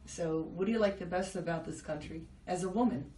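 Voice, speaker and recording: Woman host asking chatty questions, lowish voice, sounds a bit far from the mic in an echoey room. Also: brisk, dark tone. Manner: curious, chatty questions, laughs easily. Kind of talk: casual interview. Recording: echoey, from a distance, faint noise.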